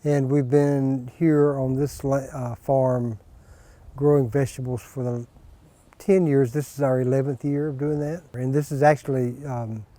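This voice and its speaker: An older man from North Carolina, an organic vegetable farmer, talking slowly with many pauses in a documentary interview. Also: medium pitch, flat delivery, warm tone, no echo. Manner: slow, unhurried, with many pauses and fillers.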